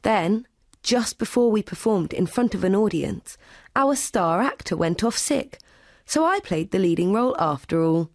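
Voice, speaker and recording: Woman, chatty exam monologue, very lively pitch, dry booth. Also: moderate pace, no room sound. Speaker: exam recording speaker. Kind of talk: scripted monologue reading.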